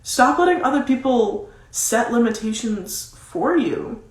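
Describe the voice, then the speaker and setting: A woman's voice: fairly high pitch, big pitch swings, measured pace. Congested, nasal woman in an echoey room. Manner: emphatic, repeats key phrases for stress. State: congested with a cold. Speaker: motivational coach. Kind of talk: motivational advice talk, casual. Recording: roomy, echoing sound.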